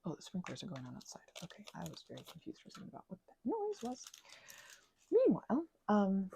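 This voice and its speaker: Woman, ASMR rambler, thick vocal fry, very mellow dark tone, meandering talk with whispers. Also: medium pitch, wildly swooping pitch, fast, slight background noise. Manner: meandering, filler-heavy, drifting into whispers. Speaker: ASMR creator. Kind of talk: soft-spoken ASMR craft rambling. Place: indoors at home.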